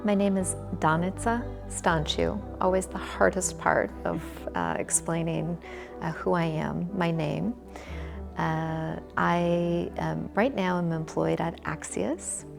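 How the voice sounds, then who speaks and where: An American woman in her forties from Michigan, answering interview questions in a measured, thoughtful way with frequent pauses. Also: lowish voice, creaky, music in the background. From Michigan, USA. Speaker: interview guest, volunteer. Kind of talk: conversational interview.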